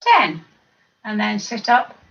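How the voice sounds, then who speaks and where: A woman over fifty with a croaky voice, leading a seated exercise class, counting reps and adding chatty asides. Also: mid-range voice, big pitch swings, takes it slowly, a bit bright. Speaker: fitness instructor. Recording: distant mic, dull lo-fi sound.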